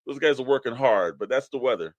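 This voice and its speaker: A man's voice: mid-range voice, animated, fast talker, no room sound. A man co-hosting a radio-style show in a folksy, rambling, chatty way, with casual joking delivery into a close mic. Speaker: co-host reading weather segment.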